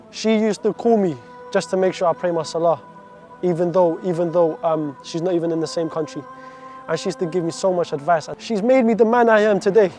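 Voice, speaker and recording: A man's voice: sits high, mellow. A man in his twenties from Iraq, giving an earnest, heartfelt faith testimony full of devout phrases. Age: 20s.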